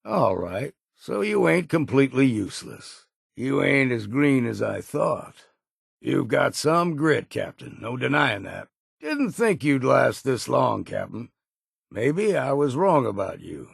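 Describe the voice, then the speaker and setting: An actor playing a gruff, wary old islander sailor in a video game, with a gravelly, husky voice and salty, folksy, blunt talk. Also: male voice, medium pitch, sing-song, unhurried, dry recording, clean studio sound, exaggerated voice. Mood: grudging respect. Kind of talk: scripted video game voice lines.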